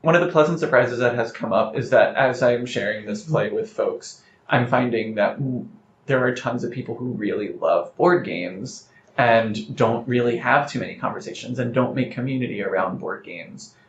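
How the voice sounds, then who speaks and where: A man's voice: mid-range voice, normal speed. A man speaking as an interview guest, explaining and telling anecdotes about their work in an articulate, conversational way. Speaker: playwright guest. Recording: roomy, slightly dull sound with faint noise.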